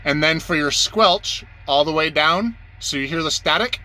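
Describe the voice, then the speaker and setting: A man's voice: fairly high pitch, moderate pace, sharp tone, a little hiss or hum. A man giving a casual, off-the-cuff tutorial as an instructor, explaining things plainly step by step and repeating points for beginners.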